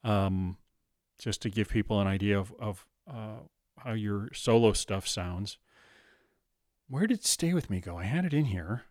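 A man's voice: fairly low pitch, lively intonation, a little slow, darkish tone, no room sound. Dry-sounding easygoing male radio host. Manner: easygoing, loose and chatty, with fillers. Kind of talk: casual interview chat. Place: radio studio.

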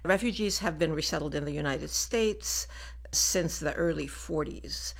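An older woman who grew up in Lebanon, speaking as a guest in a radio studio interview and explaining things in a measured way. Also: sits low, creaky. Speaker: refugee program director, guest.

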